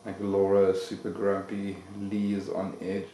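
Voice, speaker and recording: Wry low-voiced man keeping a diary. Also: monotone, moderate pace, warm tone, roomy sound, a little hiss or hum. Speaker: exchange student. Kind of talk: video diary to camera.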